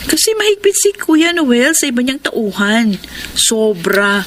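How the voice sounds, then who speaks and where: A worried, insistent friend in a classic radio drama. Her voice is fairly high and earnest. A faint hiss lies under the recording. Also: sing-song, a little slow, a bit bright. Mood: concerned. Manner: concerned, insistent. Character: worried woman warning her friend about her brother.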